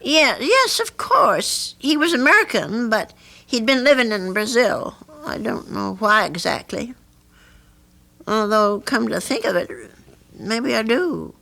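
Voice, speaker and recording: High, creaky, motherly elderly widow. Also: sing-song, a little slow, full character voice. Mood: concerned, motherly. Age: older. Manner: gentle, fussing, motherly. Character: kindly, lonely elderly widowed landlady. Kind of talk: old-time radio drama acting. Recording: archival radio drama with music.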